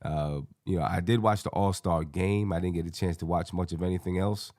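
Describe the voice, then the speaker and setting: A man with a hoarse, scratchy voice hosting a casual sports fan panel in slangy, colloquial talk. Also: sits low, fairly even intonation, a little fast, a little mellow, no room sound, studio quality.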